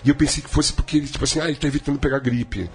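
A man's voice: fairly even intonation, racing. A man co-hosting a podcast, telling a sardonic, rambling story in casual comedic chat. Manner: rambling, sardonic storytelling with big swings in pitch. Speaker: podcast co-host.

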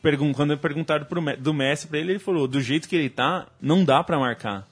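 A man from Brazil explaining football matches in a calm, measured way during a casual debate. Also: fairly high pitch, husky, faint music behind. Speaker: football pundit.

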